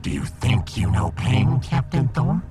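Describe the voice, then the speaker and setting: A man voicing a pompous, embittered alien fish emperor in a sci-fi audiodrama, with grandiose, theatrical, drawn-out delivery. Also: medium pitch, big pitch swings, music in the background. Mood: bitterly aggrieved.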